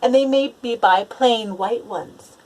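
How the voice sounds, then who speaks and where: A woman's voice: a little high, slow, darkish tone, poor audio. A woman voicing a grumbling young boy in a children's storybook, complaining emphatically with words spaced out. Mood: exasperated. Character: grumbling, aggrieved young boy narrating his awful day. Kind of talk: children's storybook read-aloud.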